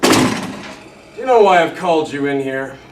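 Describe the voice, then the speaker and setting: Man acting a blustering high-voiced colonel, roomy recording. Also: expressive, measured pace. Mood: stern, smug. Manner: pompous, exasperated barking. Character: blustering, bullying, easily confused male army colonel. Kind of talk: amateur comedic stage dialogue. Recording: roomy, distant mic, faint noise.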